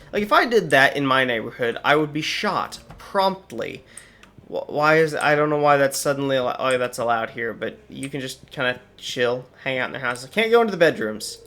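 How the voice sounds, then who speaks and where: A man's voice: mid-range voice, fairly bright tone. A young man with a slightly sore voice, chatting casually through game commentary with wry asides. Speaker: gaming channel host.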